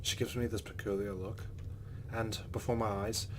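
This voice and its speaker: A man's voice: on the low side, flat delivery, moderate pace, a little hiss or hum. A man hosting a let's play, reading visual novel narration aloud with his own reactions, over game ambience.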